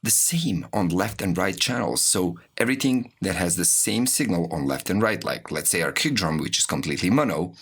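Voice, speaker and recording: A man giving an informal, explanatory music production tutorial, walking through software as an instructor. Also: low-pitched, animated, moderate pace, hardly any pauses, husky. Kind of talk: spoken tutorial with software demonstrations.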